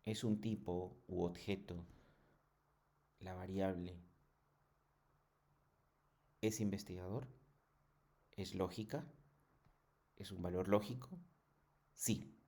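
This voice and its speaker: A man giving a programming tutorial in a halting, didactic way, often checking in with short questions. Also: low-pitched, fairly even intonation, mellow. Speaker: programming instructor. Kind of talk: screen tutorial lesson.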